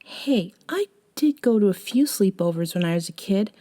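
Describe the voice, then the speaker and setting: A woman playing a gentle, eccentric young woman, a caring friend, in an intimate ASMR audio roleplay, soft-spoken with hesitant pauses. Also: medium pitch, animated, close to an everyday voice. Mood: wryly teasing.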